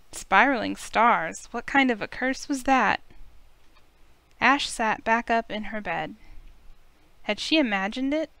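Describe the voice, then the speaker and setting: Bright, slightly creaky woman narrator. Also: on the high side, no room sound. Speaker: narrator and playthrough host. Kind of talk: expressive scripted narration of a visual novel.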